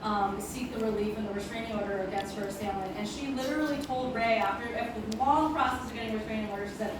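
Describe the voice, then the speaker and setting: Middle-aged woman from Hong Kong, speaker at a community event, earnest with ums, a bit high, picked up from a distance. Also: fast. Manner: earnest, frequent ums, switches between English and Cantonese. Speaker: deputy city attorney giving a speech. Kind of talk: public speech at an event. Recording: echoey room, voice from a distance, clear background noise.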